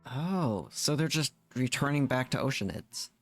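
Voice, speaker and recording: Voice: medium pitch, animated, unhurried, music in the background. A game streamer reacting casually during play, in a muttering, questioning way.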